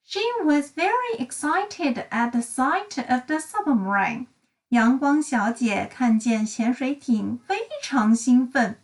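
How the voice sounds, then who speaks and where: A woman reading a children's storybook aloud in an expressive, deliberate storytelling style. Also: high-pitched, recorded from a distance. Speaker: storybook reader. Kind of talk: bilingual children's read-aloud narration.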